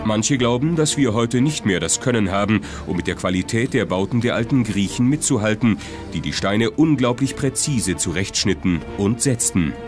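A man narrates a documentary in with a low voice. His delivery is scripted, measured and dramatic, and music plays clearly behind him. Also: expressive. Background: prominent background music.

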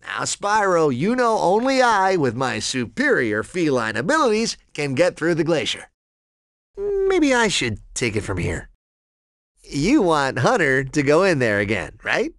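A man's voice: fairly high pitch, wildly swooping pitch, unhurried, a bit bright, dry recording, studio quality, heavily acted. A man voicing a cocky, laid-back young cheetah sidekick in a video game, breezy, slangy and friendly. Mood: casual, friendly. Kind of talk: animated video game voice acting.

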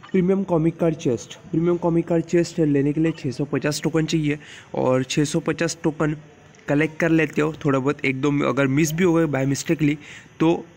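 A man with an Indian accent, hoarse from a sore throat, giving a casual, blunt voice-over guide to a mobile game. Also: on the high side, darkish tone. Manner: informal, blunt, mixes English terms into speech. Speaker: mobile game channel host.

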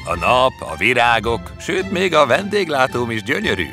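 Man playing a gallant, playful bachelor, animated. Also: medium pitch, voice pushed up. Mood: breezy, flattering. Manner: breezy, flattering, gallant. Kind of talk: fairy tale character voice. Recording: music under the voice.

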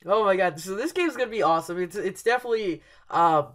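Excitable young man with headset, rambling. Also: high-pitched, fast talker. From Cuban heritage. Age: young adult. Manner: casual rambling with many fillers and restarts, loud excited outbursts. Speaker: YouTube reaction host. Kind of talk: excited live reaction chat. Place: dark bedroom at night. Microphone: headset, webcam at desk.

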